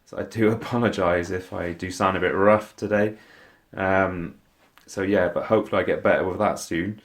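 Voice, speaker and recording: A man's voice: low voice, fairly even intonation, normal speed, dry recording. A man with a stuffy, congested voice from a cold, hosting a casual portfolio walkthrough vlog and often hesitating with ums and restarts. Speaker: investing vlogger.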